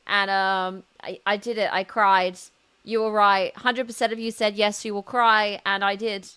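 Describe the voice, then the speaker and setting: A woman's voice: medium pitch, level tone, normal speed, sharp tone, clear, resonant tone. A woman game streamer chatting casually to her viewers as she reacts live to a story.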